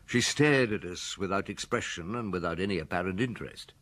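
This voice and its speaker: An actor playing a dry, sceptical middle-aged police detective in a radio drama, questioning brusquely with a husky voice. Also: male voice, low voice, a little fast, a bit bright. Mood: dry, reflective. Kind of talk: radio drama acting and first-person narration.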